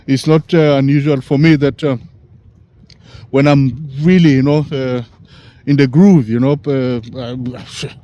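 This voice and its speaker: A man in his sixties from Africa, talking in a halting, rambling way, full of "you know" fillers. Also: medium pitch, steady tone, mellow, dull audio. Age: 60s. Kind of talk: casual rambling monologue.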